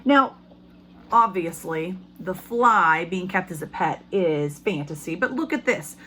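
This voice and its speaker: A woman speaking as an elementary school teacher, reading aloud to children in simple, expressive language and putting questions to them. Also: lowish voice, unhurried, a little hiss or hum.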